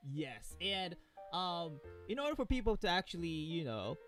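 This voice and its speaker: A man's voice: on the high side, big pitch swings, moderate pace, a bit bright, faint music behind. A man hosting a live stream with casual banter, playful and exclamatory as he hypes things up.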